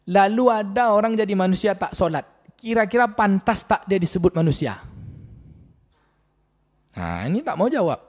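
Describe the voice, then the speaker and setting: A man's voice: sits high, very animated, moderate pace, pauses often, darkish tone. A man, preaching a sermon and questioning the congregation directly. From Riau, Indonesia. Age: 40s. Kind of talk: mosque sermon. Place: mosque hall. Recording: long mosque hall reverb.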